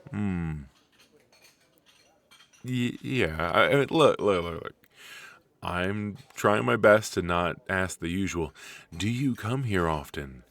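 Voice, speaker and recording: Deep-voiced, rambling, flirty coffee date played by a man. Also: animated, vocal fry, exaggerated voice. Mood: flustered, playful.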